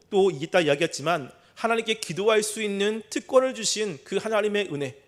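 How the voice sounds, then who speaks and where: A male preacher with a notably high-pitched voice for a man. He delivers an expository sermon in a measured way, explaining each point in formal, polite phrasing.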